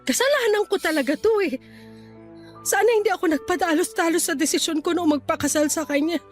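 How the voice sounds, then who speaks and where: A woman's voice: very high-pitched, a little fast, soft background music. A woman playing a weary, resentful young wife in a Tagalog radio drama, venting plaintively and hesitantly to her cousin. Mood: regretful, bitter.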